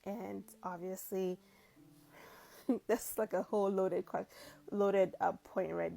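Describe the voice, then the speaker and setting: A woman in her forties from Cameroon, a physician giving casual advice to a viewer in a relaxed, chatty way with plenty of asides, recorded on a wired earphone mic in a small room. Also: lowish voice, gravelly. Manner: relaxed and conversational, with frequent likes and asides. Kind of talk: casual advice video answering a viewer question.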